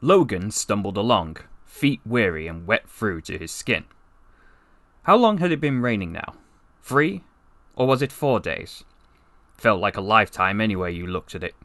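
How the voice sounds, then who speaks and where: A man narrating a fantasy story in an expressive, theatrical audiobook style. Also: lowish voice, normal speed, dry recording. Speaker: voice actor reading a story for a portfolio.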